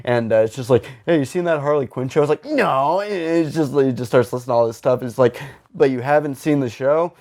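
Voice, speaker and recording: A man's voice: mid-range voice, a little fast, darkish tone. A man hosting a podcast in casual, comedic pop-culture chat, rambling and swearing freely and acting out imagined dialogue. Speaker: podcast host.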